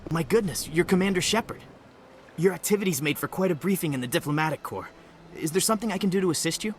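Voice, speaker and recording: A man playing an evasive diplomatic bureaucrat in a video game, courteous, formal and measured. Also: a little high, expressive, slight background noise, natural voice. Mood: impressed, obliging.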